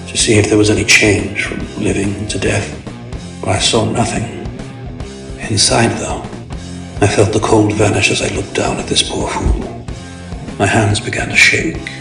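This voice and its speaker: A man's voice: on the low side, vocal fry, echoey room, far from the mic. A man voicing a proud, brooding, vengeful supervillain who recounts his youth in grave, formal narration for a comic dub. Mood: grim, haunted. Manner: grave, deliberate, formal, theatrical.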